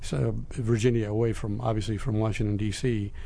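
A man in his fifties from Lebanon, answering interview questions in a halting, measured way. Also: fairly low pitch, flat delivery, vocal fry, dark tone. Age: 50s. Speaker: interview guest, business executive.

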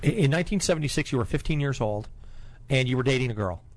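A man's voice: medium pitch, animated, fast talker. A man hosting a conversational on-air interview, asking informal, probing questions. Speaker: interview host.

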